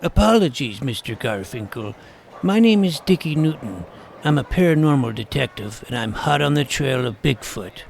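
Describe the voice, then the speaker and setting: A man's voice: medium pitch, lively intonation, moderate pace, vocal fry, slight background noise. A man playing an earnest, wordy schoolboy paranormal detective who narrates his own adventure in an audio drama. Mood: cheeky, confident. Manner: precocious, wordy, earnest, mock-serious. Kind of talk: first-person scripted audio drama narration.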